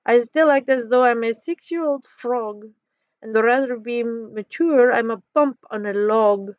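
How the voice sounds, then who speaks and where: A woman acts out a young storybook frog, male, whiny and anxious, given to grand theatrical delivery. He pitches up above a plain talking voice, and the recording is dry and a little dull. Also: very animated, a little slow, no room sound. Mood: dramatic, woeful. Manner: whiny, theatrical, stuttering when scared.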